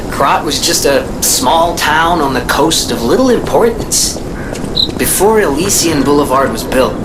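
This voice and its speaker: An actor playing a weary, cynical local in a video game, talking with wry resignation. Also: male voice, on the high side. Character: weary, cynical local recounting a slum's decline. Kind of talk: reflective game dialogue. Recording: loud steady ambient noise behind.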